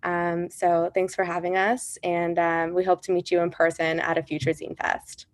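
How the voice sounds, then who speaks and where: A woman's voice: on the low side, monotone, moderate pace, no room sound. A woman speaking as a zine presenter, hesitant with ums as she thanks the festival.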